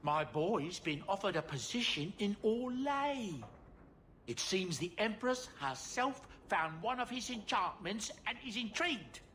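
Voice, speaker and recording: An actor playing a kindly, fretful aging dwarf merchant in a video game, polite, hesitant and folksy. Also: male voice, high-pitched, sing-song, a little slow, fairly bright tone, a little hiss or hum, exaggerated voice. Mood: fond, apologetic. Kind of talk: video game character acting.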